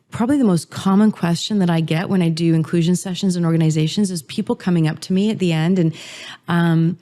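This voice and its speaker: A middle-aged woman speaks as an interview guest, laying out her ideas in steady, articulate sequences. Her voice sits a little low, with a warm, mellow tone. She sounds earnest and considered. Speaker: guest author and inclusion speaker. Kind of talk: thoughtful interview answers.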